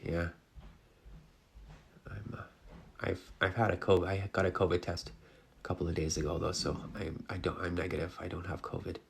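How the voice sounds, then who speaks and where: Congested guy with a deep gravelly voice, chatting to viewers while he paints, lots of ums. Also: flat delivery. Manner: soft-spoken, halting, many fillers. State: congested with a cold. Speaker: painting instructor. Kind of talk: relaxed live-stream chat while painting. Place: art studio, indoors.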